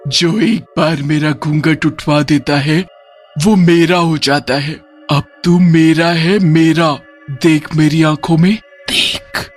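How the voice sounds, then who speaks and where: A man's voice: fairly high pitch, steady tone, slow pace, faint music behind. A man voicing a possessive, vengeful veiled female witch who delivers commanding threats in a cartoon moral story. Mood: menacing, possessive.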